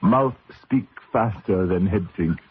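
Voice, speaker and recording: An actor playing an old, superstitious Cajun race mechanic in a radio drama, solemn, with clipped broken grammar and a Cajun accent. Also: male voice, low-pitched, slow pace. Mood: solemn, protective. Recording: noisy, tinny old radio broadcast.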